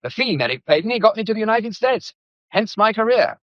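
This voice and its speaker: Blunt gallant painter, British accent, highly animated delivery, raised pitch, jaunty teasing banter, period radio drama, muffled sound. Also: male voice, brisk, no echo. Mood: jaunty, flirtatious. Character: breezy, blunt, gallant male society painter. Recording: dull, muffled lo-fi audio.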